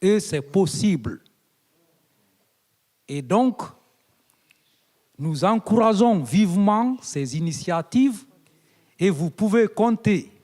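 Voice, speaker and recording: A man from Guinea addresses a conference in a deliberate, unhurried way. His fairly high voice swells into emphatic, oratorical appeals. The sound carries a long reverb from the hall. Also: darkish tone. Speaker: invited guest speaker. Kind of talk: conference speech.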